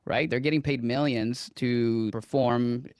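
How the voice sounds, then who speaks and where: A man's voice: medium pitch, steady tone, measured pace, darkish tone, no room sound. Earnest man in his thirties. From Venezuela. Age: 30s. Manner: earnest, storytelling, occasional self-repeats. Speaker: guest dietitian. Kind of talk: interview answers.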